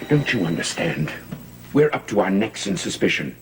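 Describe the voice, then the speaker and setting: Cold, deep-voiced schemer. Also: male voice, big pitch swings, moderate pace, vocal fry, exaggerated voice. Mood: cold, impatient. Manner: stern, cutting. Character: cold, calculating man breaking off with accomplice lover. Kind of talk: gothic soap opera acting. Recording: old TV soundtrack, loud music behind.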